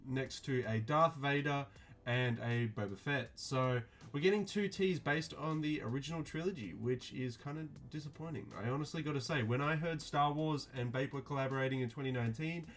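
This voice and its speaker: A man talking casually to his viewers, reviewing a clothing release in a flat, mildly disappointed way with lots of ums and restarts. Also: medium pitch, fairly quick. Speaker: streetwear vlogger.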